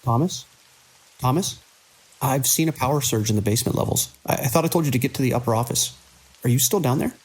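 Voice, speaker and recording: A man playing a weary, conscientious hospital director in an audio drama, with a gravelly, husky voice. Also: mid-range voice, fast, a little mellow, close to an everyday voice, low-quality recording. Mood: anxious, urgent. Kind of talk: audio drama acting, log dictation and urgent calls.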